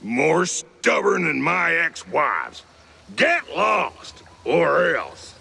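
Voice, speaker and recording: An actor playing a curt, stubborn old caretaker with a gravelly voice in a cartoon game. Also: male voice, a little high, huge pitch swings, drawn-out words, bright, forceful tone, a little hiss or hum, exaggerated voice. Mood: grumpy, dismissive. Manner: curt, dismissive.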